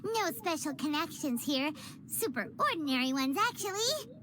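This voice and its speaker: A woman's voice: sits high, very animated, normal speed, heavy background noise. A woman voicing a small, chatty female companion creature who is bubbly and blunt and talks about herself in the third person. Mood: perky chatter. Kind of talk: game voice acting. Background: game music.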